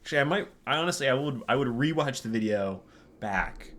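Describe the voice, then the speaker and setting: A man's voice: medium pitch, expressive, fairly quick, a bit bright. A man co-hosting a gaming video, chatting casually in a rambling, joking way. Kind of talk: casual chat, game commentary. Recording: faint background noise.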